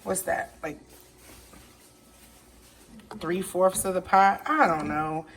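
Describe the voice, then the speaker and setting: A woman's voice: fairly low pitch, steady tone, slow pace. A woman hosting a casual home cooking video, thinking aloud while she cooks one-handed in her kitchen. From Haitian family background. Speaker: home cook showing a recipe. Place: home kitchen with running tap. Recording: background noise.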